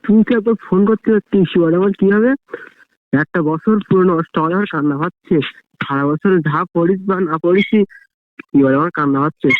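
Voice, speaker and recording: A man's voice: high-pitched, fairly quick, no room sound. A young man, chatting on the phone in a flustered, plaintive, repetitive way. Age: young adult. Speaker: friend receiving a prank call. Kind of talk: casual phone chat. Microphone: phone line. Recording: tinny, phone-like call audio.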